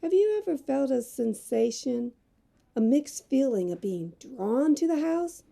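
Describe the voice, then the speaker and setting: An actress playing a determined, independent magazine reporter in an audio drama, earnest, polite and resolute. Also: female voice, sits high, big pitch swings, unhurried, gravelly, warm tone, full character voice.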